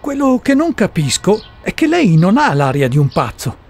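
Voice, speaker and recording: A man's voice: high-pitched, sing-song, moderate pace, darkish tone, soft background music. An actor in a radio drama playing a persistent, puzzled man who questions formally and methodically in search of sense. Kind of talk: Italian radio drama acting.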